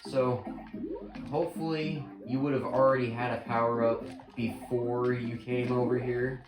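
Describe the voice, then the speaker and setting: A man's voice: medium pitch, little pitch movement, measured pace. A man giving casual, rambling game commentary while losing his voice, often correcting himself mid-sentence. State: losing his voice, clearing throat. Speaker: gaming channel host. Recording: echoey room with game music. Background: game music.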